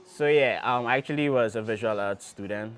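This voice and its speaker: A young man from Ghana, a startup founder, answering interview questions in a relaxed way with many pauses and ums. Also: medium pitch. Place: restaurant table, by the sea.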